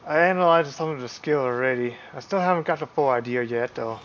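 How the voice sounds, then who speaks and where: A man livestreaming a game with casual commentary, halting as he thinks aloud. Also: medium pitch, lo-fi sound, a little hiss or hum. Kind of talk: casual live gameplay commentary. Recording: loud game music behind voice. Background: video game music.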